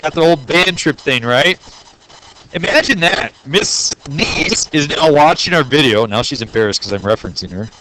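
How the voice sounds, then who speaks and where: A man's voice: a little high, animated, moderate pace, sharp tone, low-quality recording. A man teaching a math lesson to his class, joking and playful, talking straight to the students. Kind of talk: classroom lesson recorded on video.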